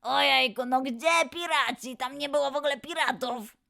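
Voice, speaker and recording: A woman playing a forgetful, excitable young male lion who frets and exclaims in a children's audio quiz show. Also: high voice, normal speed, gravelly, exaggerated voice. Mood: excited. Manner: fretful, exclaiming, self-doubting. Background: music.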